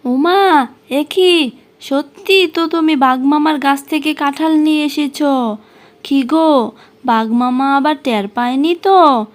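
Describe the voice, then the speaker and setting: A woman's voice: sits high, unhurried, pauses often. A woman voicing an anxious, devoted female fox wife in a children's cartoon, fretful and pleading. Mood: surprised, fearful. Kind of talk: children's cartoon character acting.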